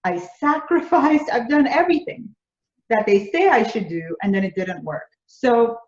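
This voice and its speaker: A woman's voice: on the high side, lively intonation, normal speed, darkish tone. A woman from Jamaica with a Jamaican accent, answering interview questions earnestly and building her points through repeated phrases. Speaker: business coach, interview guest. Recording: roomy, dull lo-fi audio.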